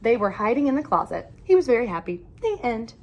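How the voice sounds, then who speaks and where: A woman reading a children's picture book aloud in an animated, expressive storytelling manner with emphatic phrasing. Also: a little high, fairly quick, muffled recording.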